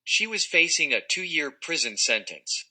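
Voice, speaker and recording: A man's voice: fairly high pitch, big pitch swings, measured pace, bright, forceful tone, rough recording. A man reading an article aloud as scripted narration.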